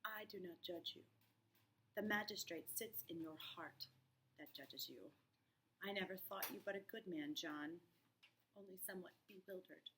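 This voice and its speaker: A woman reading the part of a wounded, suspicious Puritan wife in a play, quiet and reproachful. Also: on the low side, normal speed, pauses often, rough recording. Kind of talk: classroom play read-aloud. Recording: noticeable background noise.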